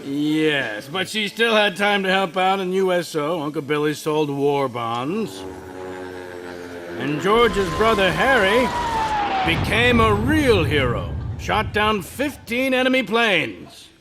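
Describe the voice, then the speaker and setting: An actor playing a patient heavenly narrator who recounts the story in a radio play. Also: male voice, high voice, very animated, slow pace, exaggerated voice. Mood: storytelling. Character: calm heavenly male narrator, an angel's superior.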